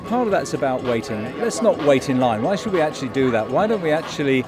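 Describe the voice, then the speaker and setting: A man's voice: mid-range voice, sing-song, fast talker, music in the background, crowd noise. An older man, a university researcher, explaining a project earnestly on a busy street, asking rhetorical questions. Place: outdoors on a busy city street.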